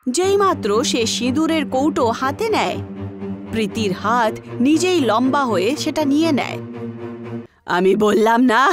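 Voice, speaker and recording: Animated high-pitched woman narrating horror. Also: moderate pace, music in the background. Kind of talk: dramatic horror story narration.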